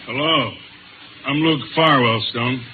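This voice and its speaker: An actor playing a wealthy, menacing racketeer in a 1950s radio drama, suave and condescending. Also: male voice, medium pitch, takes it slowly, music in the background, close to an everyday voice. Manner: suave, condescending, coolly threatening. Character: smooth, menacing, wealthy male racketeer boss. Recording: tinny, noisy 1950s radio recording.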